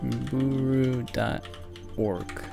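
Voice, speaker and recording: A man's voice: mid-range voice, steady tone, very slow, creaky. A young man chatting casually on a livestream, rambling and self-mocking in a distracted way. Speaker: video game livestreamer. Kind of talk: casual livestream chat to viewers. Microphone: microphone on a boom arm. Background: music.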